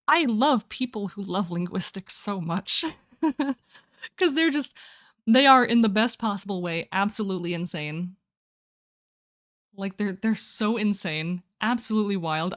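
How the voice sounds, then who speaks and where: A woman's voice: mid-range voice, expressive, unhurried, fairly bright tone. Giggly, rambling young woman streamer. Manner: reads and answers chat. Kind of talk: casual live-stream chat.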